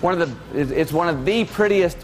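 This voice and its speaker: Keynote speaker, late 40s, animated storyteller, slightly high voice. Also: male voice, brisk, over music.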